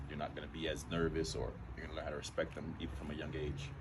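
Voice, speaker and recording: Brief: quick-talking, very deep-voiced male interviewer with a loose manner, traffic noise behind. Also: monotone. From Miami. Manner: conversational, loose with "you know". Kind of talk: casual interview.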